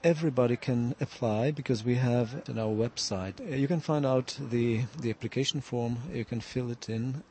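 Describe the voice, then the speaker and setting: A man, a native Czech speaker, answering interview questions as a department official in halting English with repeated words. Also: mid-range voice, gravelly, darkish tone. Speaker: government department head being interviewed.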